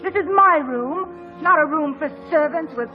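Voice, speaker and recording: A passionate young gentlewoman, haughty and willful, in a high voice. She speaks with the intense, theatrical delivery of mid-century radio drama. The old recording is dull, with prominent music. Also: unhurried. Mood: haughty, scornful.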